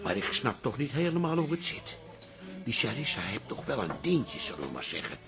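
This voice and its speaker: A man's voice: mid-range voice, sing-song, fairly quick, music in the background. An actor playing a cantankerous, self-dramatizing older father in a Dutch radio drama, grumbling and blustering.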